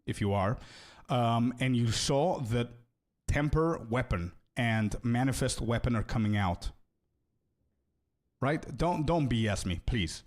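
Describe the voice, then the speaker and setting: A young man streaming a video game and chatting casually with viewers, loose and friendly, often calling them bro and repeating words as he thinks out loud. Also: mid-range voice, lively intonation, slow. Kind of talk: casual live-stream chat, answering viewers.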